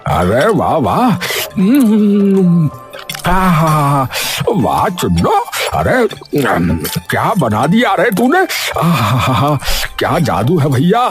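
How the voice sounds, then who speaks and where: Man acting an excitable, wildly swooping old headman. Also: a little high, faint music behind, exaggerated voice. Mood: delighted. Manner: exclamatory, drawn-out, folksy. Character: excitable old male village headman, easily overwhelmed. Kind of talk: Hindi cartoon story acting.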